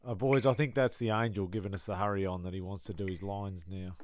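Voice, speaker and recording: A man's voice: deep voice, steady tone, fast talker, dry recording, close to an everyday voice. A man playing a flustered, earnest, stammering director of a shambolic production in a comic audio drama. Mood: defensive.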